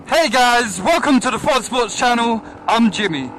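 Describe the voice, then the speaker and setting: A man's voice: extremely high pitch, animated, normal speed, poor audio. A man presenting a video, greeting viewers of a channel.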